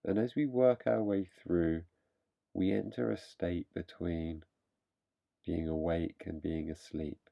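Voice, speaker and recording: Male meditation guide, deep creaky voice, unhurried phrasing with long pauses, slightly dull recording. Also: fairly even intonation.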